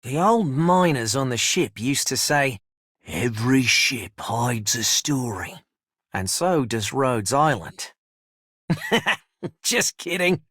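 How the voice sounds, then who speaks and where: A shrewd young operator in a video game. The voice is animated in pitch and closely recorded, playful and knowing, yet trusting. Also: no echo, clean studio sound.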